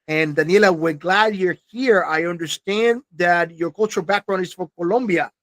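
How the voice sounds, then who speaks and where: Male podcast host, forties, high-pitched, polished and measured, dry close sound. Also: fairly bright tone, dry recording. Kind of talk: scripted podcast intro and interview questions.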